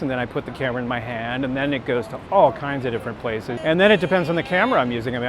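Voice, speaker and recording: Middle-aged American man over city street noise. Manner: candid, self-deprecating, anecdotal. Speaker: street photographer and workshop teacher being interviewed. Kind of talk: casual reflective chat while walking. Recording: outdoor city rumble and traffic noise. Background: urban rumble, vehicles.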